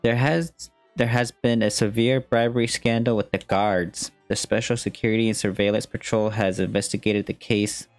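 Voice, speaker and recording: Low-voiced young man streaming a game. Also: fairly even intonation, moderate pace, few pauses, dry recording. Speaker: gaming streamer. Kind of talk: casual game playthrough, reading on-screen text aloud. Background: faint game music.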